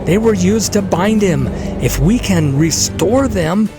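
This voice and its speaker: A man voicing an urgent, excitable male spellcaster in a fantasy audio drama, his voice strained. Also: sits high, slow, pitched above the performer's own voice. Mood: rising urgency. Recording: loud dramatic music behind.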